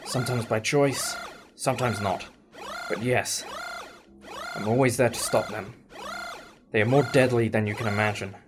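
A man's voice: medium pitch, fairly even intonation, normal speed, pauses often, over music, lightly acted. A man playing a driven, defiant time-travelling hero in a fan audio drama, earnest and dramatic. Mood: urgent, determined.

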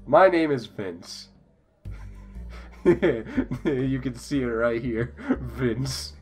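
A man's voice: medium pitch, very slow, darkish tone, faint music behind. A young man chatting casually as a podcast guest, joking and giggly as he draws. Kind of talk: casual chat while drawing.

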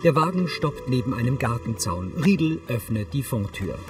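A man's voice: mid-range voice, very animated, normal speed, over music. A man reading scripted narration that describes the scenes of a TV film.

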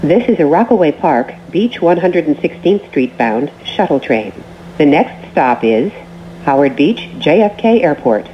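A woman reading scripted transit announcements as a train announcer, in short, measured, formal phrases. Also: low-pitched, big pitch swings. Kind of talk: scripted automated station announcements. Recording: muffled recording with train noise.

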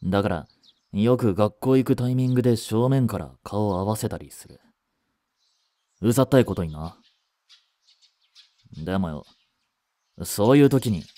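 Man acting mellow, brusque teenage schoolboy. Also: fairly low pitch, no echo, natural voice. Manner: blunt, teasing, rough casual speech. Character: brusque teenage schoolboy, gruffly caring childhood friend. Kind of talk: Japanese romantic situation voice drama.

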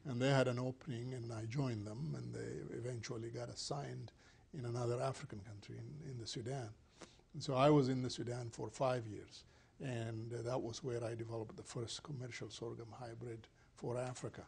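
Older man, from Ethiopia, interview guest, gravelly, husky, measured, lapel mic. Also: mid-range voice, fairly even intonation, a little mellow. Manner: measured, thoughtful storytelling with frequent fillers. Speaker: plant scientist guest. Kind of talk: interview answers. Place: small studio set indoors.

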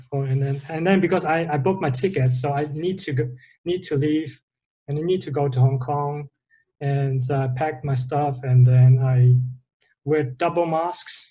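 A man's voice: on the high side, normal speed, warm tone, poor audio. A young man from the Netherlands, a guest on a video call from a bedroom, talking hesitantly and searching for words. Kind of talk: casual interview. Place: bedroom, indoors.

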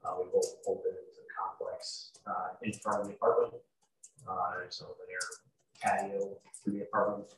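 A very echoey, distant recording of a young man testifying in court. His voice is low and stays flat, with a halting, procedural delivery of an officer on the witness stand. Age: young adult. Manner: halting, matter-of-fact, procedural. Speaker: sheriff's deputy testifying as witness. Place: courtroom, heard over video call. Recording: echoey courtroom, distant mic, faint noise.

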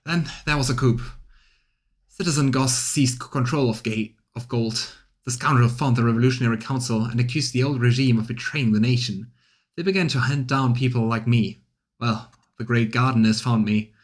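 A man's voice: medium pitch, fairly even intonation, moderate pace, close to an everyday voice. A man voicing a bitter, defeated male assassin from a fantasy game, confessing his past resentfully. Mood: resigned, bitter.

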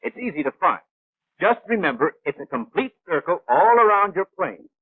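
A high-voiced, exacting male instructor in an old wartime training cartoon. He lectures in precise, clipped phrases with a very lively rise and fall. The sound is a thin, band-limited film soundtrack. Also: normal speed, breathy edge, dry recording, narrow, tinny audio, exaggerated voice. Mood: instructive. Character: brisk, know-it-all male gunnery instructor, patient but exacting. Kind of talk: 1940s animated training film narration.

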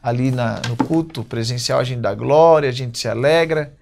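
A man in his thirties with a Brazilian accent, preaching a sermon at a church pulpit, reading verses slowly and then speaking in quick bursts. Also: medium pitch, gravelly, background noise. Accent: Brazilian Portuguese. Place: church stage at a wooden pulpit.

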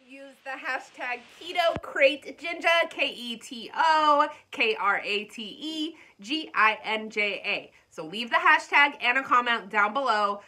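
A woman's voice: fairly high pitch, sharp tone. Bubbly congested woman vlogging. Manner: bubbly, talks straight to viewers. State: congested, slightly froggy. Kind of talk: chatty unboxing vlog.

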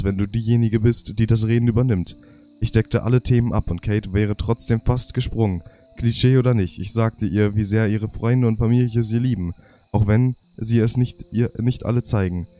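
A man's voice: lowish voice, warm tone. A young man with a cold and a half-blocked nose, reading game diary pages aloud for his let's play and stumbling over words. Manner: stumbling, self-correcting reading. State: congested with a cold.

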